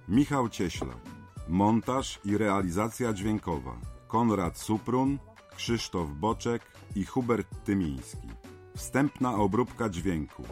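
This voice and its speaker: A man reading audio drama credits as an announcer in scripted narration. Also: low-pitched. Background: music.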